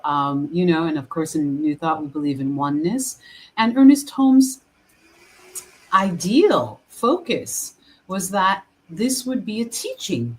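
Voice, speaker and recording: Low-voiced woman minister. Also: slightly rough, rough recording. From Haiti. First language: French. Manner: earnest, halting with fillers and restarts. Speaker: guest minister. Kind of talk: casual interview chat.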